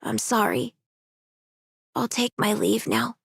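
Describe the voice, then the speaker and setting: A woman's voice: on the high side, big pitch swings, slow pace, vocal fry, slightly rough, no room sound, studio quality, heavily acted. A woman voicing a polite, restrained character in an anime game. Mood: apologetic, subdued.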